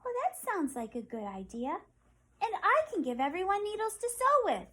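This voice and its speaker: Little porcupine, lonely but eager, very high and earnest, as a woman reads her. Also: very animated, moderate pace, bright, forceful tone, heavily acted. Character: lonely young female porcupine, gentle.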